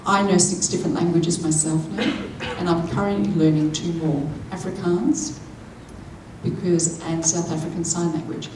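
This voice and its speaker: A woman, interpreting a deaf speaker's keynote into spoken English in the first person, with a measured manner that draws in the audience. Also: lowish voice, steady tone, background noise. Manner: measured, engaging the audience with questions. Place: large conference hall. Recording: echoey hall, voice picked up from a distance.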